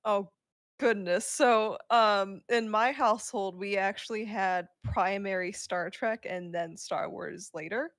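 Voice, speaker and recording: Female podcast panelist, mid-pitched, casual fan chat, very dry recording. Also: unhurried, a bit bright, no room sound.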